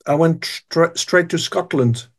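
A man's voice: fairly high pitch, slow. An older man, a guest telling long stories in an interview, hesitant with many restarts. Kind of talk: storytelling interview.

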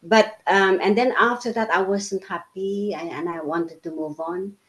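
A woman's voice: medium pitch, unhurried, fairly bright tone. A woman in her fifties from the Philippines, a native Tagalog speaker, recounting her life in a casual interview with many fillers and restarts. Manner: chatty with frequent fillers and restarts.

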